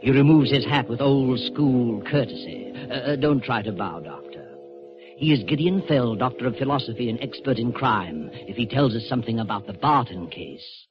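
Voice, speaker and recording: A man's voice: mid-range voice, lively intonation, normal speed, dull audio. Formal male vintage radio announcer. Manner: formal, dramatic. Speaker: announcer and host. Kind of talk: scripted old-time radio narration. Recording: band-limited old radio, loud music behind. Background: music.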